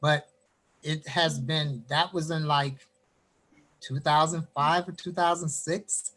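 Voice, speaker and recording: A man's voice: on the high side, poor audio. A man from Indiana, a poet, reading his poem and then chatting about his writing over a video call. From Gary, Indiana. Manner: animated storyteller, quick bursts. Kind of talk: poetry reading, then casual chat.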